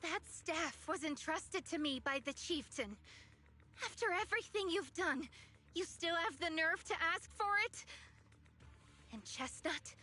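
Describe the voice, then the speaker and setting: A woman's voice: very high voice, animated, a little slow, pushed and bright, soft background music, heavily acted. An actress playing a principled young video game heroine who speaks with earnest, resolute defiance to protect her people. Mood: indignant, resolute. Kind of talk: dramatic video game voice acting.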